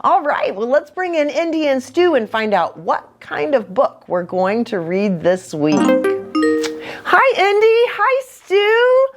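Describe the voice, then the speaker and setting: Animated woman hosting children's storytime. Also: sits high, slow, soft background music. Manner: animated, addressing young children with questions. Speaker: children's ministry host and pastor. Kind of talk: children's storytime, read-aloud with asides.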